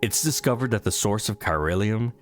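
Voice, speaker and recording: A man's voice: fairly low pitch, expressive, fairly quick, soft background music. A man reading a scripted story recap as a narrator.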